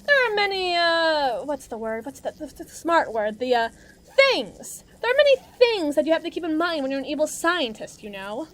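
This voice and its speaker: Casting: actress for brash female villain muscle, audio drama. High voice, very animated pitch, sarcastic and mocking, tough slangy delivery. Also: moderate pace. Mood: needling, condescending. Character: brash, mean female villain henchwoman, muscle for evil agency. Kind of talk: acted monologue roleplay addressed to listener.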